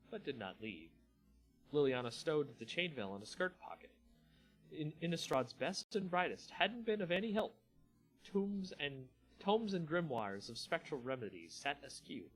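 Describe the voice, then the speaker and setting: Expressive man narrating a dramatic story. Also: mid-range voice, poor audio. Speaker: channel host reading a story aloud.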